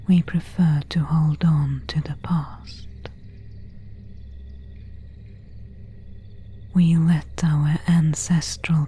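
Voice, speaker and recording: A woman voicing an elegant, melancholy vampire in an ASMR roleplay, in a breathy whisper with formal, old-fashioned phrasing. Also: sits low, warm tone, exaggerated voice. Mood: softly courteous, wistful. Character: pale, elegant, melancholy female vampire of an ancient castle.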